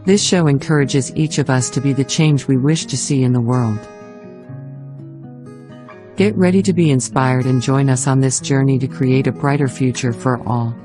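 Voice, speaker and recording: An announcer reading a scripted show introduction slowly and evenly. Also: medium pitch. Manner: slow, even announcing. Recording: voice over soft guitar music.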